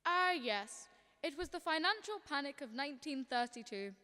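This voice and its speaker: Teenage girl as patient angel boss, high bright voice, measured storytelling, long reverb, radio drama. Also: lively intonation. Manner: measured, explanatory. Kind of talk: amateur radio drama narration. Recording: long reverb, faint crowd behind.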